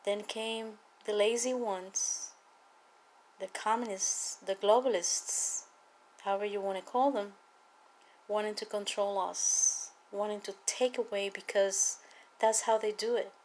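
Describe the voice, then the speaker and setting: A woman from Cuba with a Cuban accent, a native Spanish speaker, giving an earnest, emphatic personal testimony and political appeal with deliberate repetition. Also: medium pitch, steady tone, darkish tone, a little hiss or hum, poor audio. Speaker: political activist speaking to viewers.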